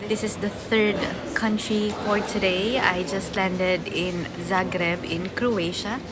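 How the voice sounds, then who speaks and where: A woman's voice: medium pitch, fairly even intonation, very noisy. A woman chatting casually to her viewers as a travel vlogger, talking straight to the camera. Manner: chatty, unhurried, addresses viewers directly. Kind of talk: casual travel vlog narration. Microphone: handheld action camera. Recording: loud background music, sometimes off-mic.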